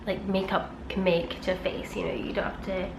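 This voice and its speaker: A woman's voice: medium pitch, level tone, brisk, vocal fry. Congested woman, chatty vlog. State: congested, nasal from a cold. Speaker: beauty vlogger. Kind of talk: chatty get-ready-with-me talk. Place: home room beside a busy street. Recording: echoey room with traffic noise. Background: traffic noise.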